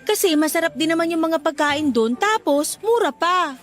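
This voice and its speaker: Casting: actress for young girlfriend, extremely high girlish voice, coy, radio drama over light music. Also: animated, normal speed. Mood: coy, embarrassed. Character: bubbly young girlfriend, hearty eater, shy and guarded. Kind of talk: Tagalog radio-style drama acting.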